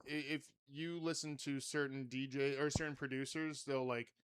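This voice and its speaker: A man hosting a podcast in casual chat, with a very loud voice and a rambling, swear-laden way of talking. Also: medium pitch, little pitch movement, moderate pace, clean tone. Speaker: podcast host.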